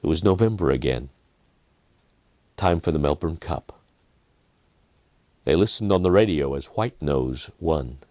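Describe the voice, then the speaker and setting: A man reading a dramatic story in a measured manner, with deliberate pauses and softened phrases. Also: very deep voice, lively intonation, no echo. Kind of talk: dramatic scripted narration.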